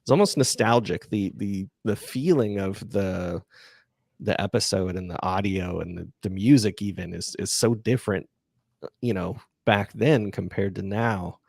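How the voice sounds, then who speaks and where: A man hosting a podcast livestream, chatting casually and answering listener questions in a halting, self-effacing way. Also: fairly low pitch, vocal fry, darkish tone, no echo, clean studio sound. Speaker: podcast creator and livestream host.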